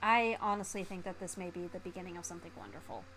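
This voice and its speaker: Woman putting on a suave male chef, dreamy, confident, earnest, lowered pitch, dating game romance. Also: fast talker, a bit bright, slight background noise, natural voice, low-quality recording. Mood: smooth, romantic.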